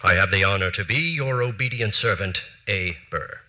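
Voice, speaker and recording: Brief: a cold, exacting period politician; crisp diction, sharp bright tone. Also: male voice, lowish voice, normal speed. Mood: formal. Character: formal, cold early American politician, man. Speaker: stage actor reading letters. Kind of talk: dramatic period letter reading. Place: large theater stage.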